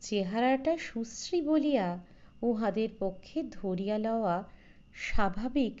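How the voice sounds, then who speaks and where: A woman's voice: fairly high pitch, measured pace, warm tone, lo-fi sound. A woman reading a literary story as a scripted audiobook narration.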